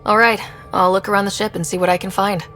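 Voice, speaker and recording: A woman's voice: medium pitch, level tone, quick pace, fairly bright tone, faint music behind, close to an everyday voice. An actress playing a young, wry woman who leads a starship crew in a video game, speaking conversationally. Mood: matter-of-fact. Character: young woman space explorer, wry, steady ship leader.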